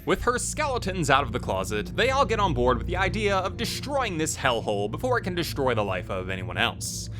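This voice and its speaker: A man's voice: a little high, wildly swooping pitch, brisk, hardly any pauses. A man hosting a video, delivering scripted review narration into a close voice-over mic. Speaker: host, game reviewer. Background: faint music.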